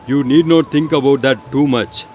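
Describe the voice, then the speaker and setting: A man's voice: medium pitch, moderate pace, a little mellow, a little hiss or hum, natural voice. An actor playing a scheming, persuasive father in a moral story, speaking in a formal, insistent way. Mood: dismissive, persuasive. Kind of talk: animated storybook acting.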